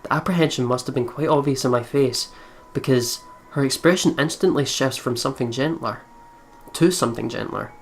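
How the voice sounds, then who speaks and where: A woman's voice: a bass voice, brisk. A woman reading a visual novel aloud and adding irreverent, sweary comments, over game music. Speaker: let's play host.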